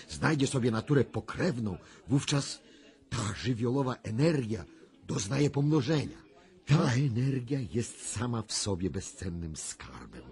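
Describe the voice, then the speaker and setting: A solemn, intense clairvoyant. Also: male voice, medium pitch, a little mellow. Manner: deliberate, dramatic, with weighty pauses. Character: male clairvoyant, solemn, intense, mysterious seer. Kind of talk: 1990s radio drama acting.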